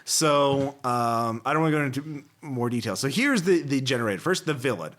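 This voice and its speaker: Male podcast host, animated and exclamatory, very lively intonation, casual gaming chat. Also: medium pitch, a little fast.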